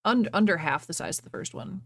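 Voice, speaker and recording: A woman's voice: on the low side, lively intonation, brisk. A woman livestreamer chatting casually with viewers and reading fan fiction aloud.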